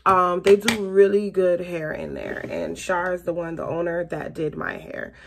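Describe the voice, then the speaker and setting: Chatty woman in her late thirties with a gravelly voice. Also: lowish voice, lively intonation, normal speed. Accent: Southern US (Florida). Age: late 30s. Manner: chatty, rambling, laughs often, addresses viewers as you guys. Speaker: beauty vlogger. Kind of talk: casual chatty get-ready-with-me vlog. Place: small bedroom, indoors. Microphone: camera in front at close range.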